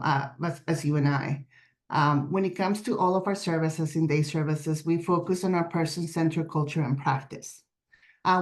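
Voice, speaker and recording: A woman with a raspy voice presenting her organization's day services on a video call, earnest and steady, reading prepared points with some fillers. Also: on the low side. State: raspy voice today. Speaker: director of day services presenting at a community forum.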